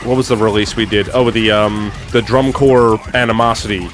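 A man's voice: fairly low pitch, steady tone, moderate pace. A man co-hosting a podcast, reviewing records in a casual conversational way. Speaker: podcast co-host. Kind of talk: casual music review chat.

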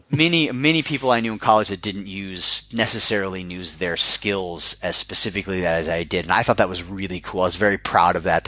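Low-voiced American man telling his story. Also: sharp tone, no echo. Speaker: interview guest. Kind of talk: conversational personal storytelling.

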